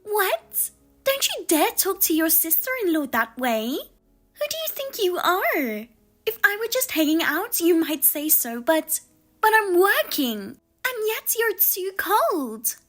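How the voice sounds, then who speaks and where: A woman voicing a sharp-tongued sister-in-law who talks down and needles, her voice pushed higher than her own. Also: sing-song, takes it slowly, soft background music, heavily acted. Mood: indignant. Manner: high-pitched, indignant and needling.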